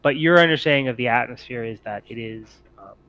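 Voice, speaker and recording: A man improvising narration as game master of a tabletop roleplaying game, often halting as he thinks aloud. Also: medium pitch, fairly bright tone, lo-fi sound.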